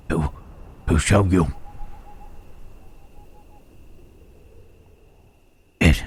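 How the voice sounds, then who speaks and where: Man acting wary gentle creature, very slow, low-pitched, hushed, halting, old-fashioned wording, intimate ASMR roleplay. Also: slightly rough, full character voice. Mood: wary, confiding. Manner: halting, formal archaic phrasing, questioning "huh".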